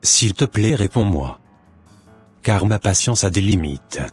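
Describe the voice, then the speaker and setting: Man acting a deep, husky, suspicious cartoon husband. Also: animated, unhurried, faint music behind, exaggerated voice. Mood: accusing, indignant. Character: suspicious, impatient husband waiting for his unfaithful wife.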